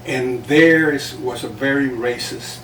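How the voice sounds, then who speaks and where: Older guy from south texas, spanish was his first language, unhurried storyteller, roomy far-off mic with faint hiss. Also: mid-range voice, a bit bright. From South Texas, USA. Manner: unhurried, reflective, with small chuckles. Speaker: guest, journalist and playwright. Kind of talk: relaxed storytelling interview. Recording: roomy, distant mic with faint background noise.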